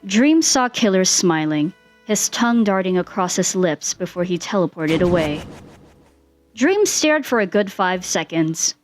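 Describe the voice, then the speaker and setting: A woman's voice: lowish voice, expressive, unhurried. Woman narrating a story over music. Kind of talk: scripted fan fiction narration.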